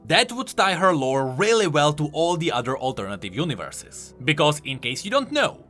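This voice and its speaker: A man's voice: fairly high pitch, sing-song, moderate pace, fairly bright tone, faint music behind. A man giving enthusiastic, emphatic explanatory commentary on video game lore.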